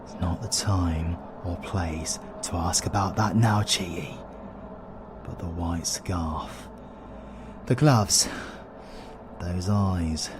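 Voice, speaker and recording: Cocky, low-voiced British supervillain played by a man. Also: takes it slowly, long pauses, background noise. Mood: awed, wary. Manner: blunt, sarcastic, colloquial banter. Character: cocky, sweary, sardonic male supervillain antihero. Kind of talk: audio roleplay acting.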